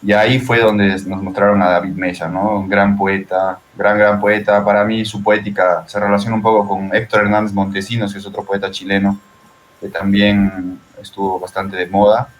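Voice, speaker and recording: A man's voice: fairly low pitch, level tone, normal speed, a little hiss or hum. A man with a Peruvian accent talking casually and reflectively as an interview guest, often checking for agreement. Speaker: interview guest, poet and translator.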